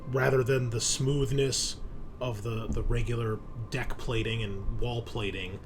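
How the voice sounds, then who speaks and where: A man's voice: medium pitch, steady tone, measured pace, noisy background. A man narrating a tabletop horror roleplaying game as game master, descriptive and conversational, with some swearing.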